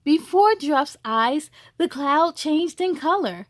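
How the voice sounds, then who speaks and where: A woman reads a children's story aloud in a high, unhurried voice. She is expressive and encouraging, as a storytime host for young listeners, and the sound is close and dry. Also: no echo. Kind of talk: expressive read-aloud with chatty asides.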